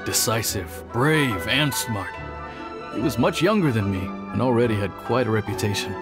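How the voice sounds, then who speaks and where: An actor playing an older police officer in a video game, measured and sceptical as he recounts and admonishes. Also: fairly low pitch, animated, over loud music, close to an everyday voice. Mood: reflective. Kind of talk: video game voice acting, storytelling dialogue.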